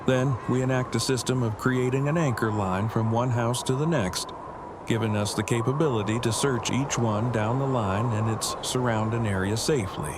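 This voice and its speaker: An actor playing an earnest, wordy survivor who speaks in stiff, over-precise formal language in an audio drama. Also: male voice, mid-range voice, moderate pace, close to an everyday voice. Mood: matter-of-fact. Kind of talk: fan audio drama acting. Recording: loud outdoor storm noise.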